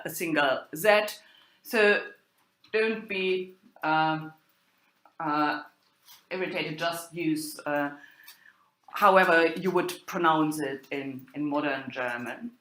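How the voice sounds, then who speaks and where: A woman giving an academic lecture as a university lecturer, speaking haltingly and thinking aloud. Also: lowish voice, a bit bright. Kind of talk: academic lecture on medieval drama. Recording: roomy, echoing sound.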